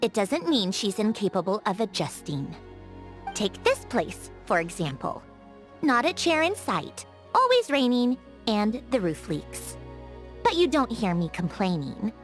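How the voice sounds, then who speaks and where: An actress voicing a haughty, prickly young genius lady automaton in a video game, huffy and easily flustered, with a secretly soft heart. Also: mid-range voice, very animated, measured pace, faint music behind. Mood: haughty, dry. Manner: haughty, huffy, flustered when caught being kind. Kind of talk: scripted video game character voice acting.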